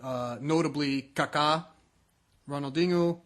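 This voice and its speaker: Higher-voiced man talking soccer casually. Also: measured pace, fairly bright tone. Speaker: sports podcast co-host.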